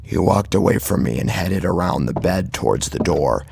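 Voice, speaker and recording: A man's voice: low-pitched, expressive, normal speed, gravelly, darkish tone, slightly rough. A man narrating a horror story in the first person, in a grave, brooding, dramatic manner.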